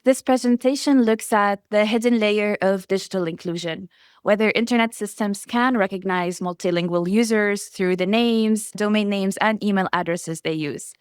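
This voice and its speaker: A woman whose first language is Arabic, giving a prepared conference presentation in a measured way, reading lists item by item. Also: medium pitch, smooth, no room sound, clean studio sound. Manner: measured, enumerating lists steadily. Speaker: researcher presenting.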